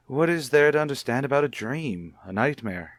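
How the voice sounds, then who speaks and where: A man's voice: medium pitch, expressive, moderate pace, no echo, studio quality, lightly acted. A man playing a suspicious husband in an old-time radio soap, speaking with formal, pointed politeness. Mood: concerned, skeptical. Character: suspicious, coolly courteous husband, probing his wife.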